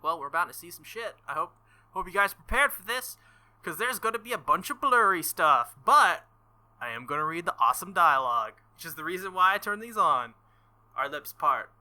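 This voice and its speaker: Bright-voiced man reading a visual novel. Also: sits high, big pitch swings, brisk. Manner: playful, joking asides and laughter. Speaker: let's play streamer.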